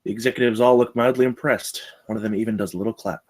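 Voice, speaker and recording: Table-read narrator: male, low register, reads screenplay action lines aloud. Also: fairly even intonation, fast talker, a little mellow. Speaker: stage directions reader at a table read.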